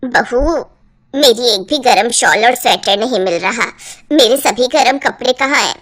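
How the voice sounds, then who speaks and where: A woman's voice: fairly high pitch, a little slow, fairly bright tone. A woman voicing a lazy older mother-in-law in a cartoon, grumbling and making excuses.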